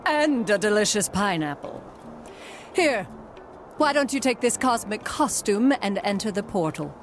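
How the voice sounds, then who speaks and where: A woman playing a wry, exasperated, dramatic fortune teller in an animated game cutscene. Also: medium pitch, unhurried, faint music behind, lightly acted. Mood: coaxing. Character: exasperated, sarcastic female mystical fortune teller.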